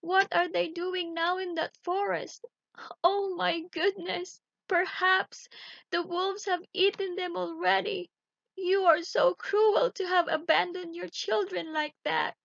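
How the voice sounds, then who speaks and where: A woman's voice: very high voice, fairly even intonation, slow, creaky, a bit bright, dry recording, highs cut off, voice pushed up, heavily acted. A woman voicing a poor woodcutter's wife in a storybook, an emotional, loving mother. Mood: anguished, wailing. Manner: wailing, emotional.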